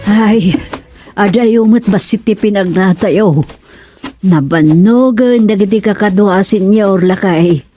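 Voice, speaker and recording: Chatty, teasing Ilocano village old-timer acted out. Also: male voice, high-pitched, takes it slowly, mellow, soft background music. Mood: complaining. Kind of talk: Ilocano radio comedy drama acting.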